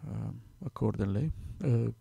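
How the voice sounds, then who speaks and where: A man with a Romanian accent, Romanian his first language, talking through a software tutorial step by step, halting with many fillers as he works. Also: fairly low pitch, gravelly, slightly rough, no echo. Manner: halting, many fillers, thinking aloud while working. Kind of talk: screen-recorded software tutorial.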